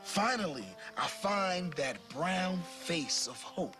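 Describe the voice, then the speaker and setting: Animated, unhurried man reading a story over music. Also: high-pitched. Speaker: story narrator. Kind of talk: expressive storybook read-aloud narration. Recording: clear music playing behind the voice.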